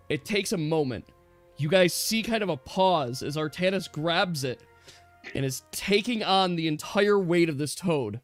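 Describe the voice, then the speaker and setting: A man's voice: sits high, dry recording. A man narrating casually as game master on a livestream, close on the mic. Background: music.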